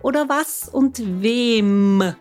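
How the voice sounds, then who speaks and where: A woman's voice: fairly high pitch, drawn-out words, darkish tone, soft background music. A young woman, a podcast host and teacher, speaking in a playfully strict, teasing tone. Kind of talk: casual podcast conversation.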